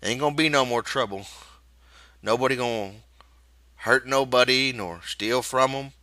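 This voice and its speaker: Guy doing a tired ranch worker calming his buddy, folksy talk, bit raised and tense, audiobook. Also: mid-range voice, normal speed, no room sound, voice pushed up, natural voice. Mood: tender, strained. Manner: rustic folksy speech, reassuring. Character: weary, protective ranch hand soothing his friend.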